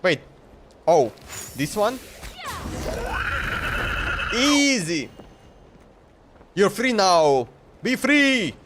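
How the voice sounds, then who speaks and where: A man's voice: sits high, sing-song, drawn-out words, pauses often, a bit bright, raised voice, a little hiss or hum. A man streaming a video game, thinking aloud in short, slangy, casual bursts. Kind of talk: casual live gameplay commentary. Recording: game music playing behind.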